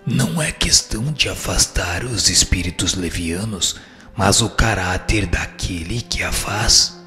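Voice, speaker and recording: A man's voice: deep voice, lively intonation, measured pace, pushed and bright, full character voice. A man with a Brazilian accent playing a wise, firm spirit who gives formal, didactic answers in a scripted radio drama. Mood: solemn, instructive. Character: instructive male spirit, wise, firm and solemn. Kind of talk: scripted dialogue, formal reading. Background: music.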